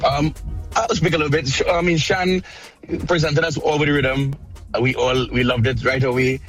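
A man's voice: on the high side, fairly even intonation, normal speed, a bit bright, rough recording. A man, a music artist guest, talking in a friendly, easygoing way in a casual interview on a call line.

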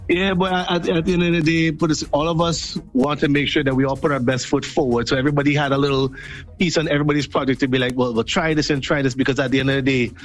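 A man, an artist guest, talking fast and energetically. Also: a little high, fairly even intonation, faint music behind. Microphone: call line.

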